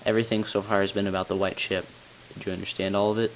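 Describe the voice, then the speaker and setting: A man playing a tall, solemn bell ringer who reveals long-kept secrets in grave, deliberate narration for a game. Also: lowish voice, little pitch movement, lightly acted. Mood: solemn, explanatory. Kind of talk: scripted character narration, amateur game voice-over. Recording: hissy, noisy amateur home recording.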